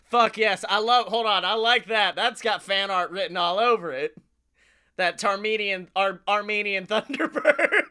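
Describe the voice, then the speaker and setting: Bright, higher-pitched man, excitable podcast co-host. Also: unhurried, smooth. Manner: excitable, profane. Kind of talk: casual comedic brainstorming chat.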